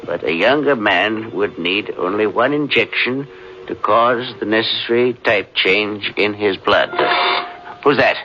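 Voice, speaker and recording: A man's voice: on the low side, measured pace. A man playing a stern, secretive older professor and father in a 1940s radio mystery, brusque and authoritative. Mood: absorbed. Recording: hissy, muffled vintage radio recording.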